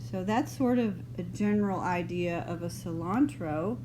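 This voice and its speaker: A middle-aged woman from Texas with a mild, partly northern Texas accent, teaching a drawing lesson in a relaxed, chatty way as she works. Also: on the low side. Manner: relaxed, unhurried, loose and chatty. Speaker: art teacher. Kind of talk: drawing lesson, thinking aloud. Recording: noisy home recording.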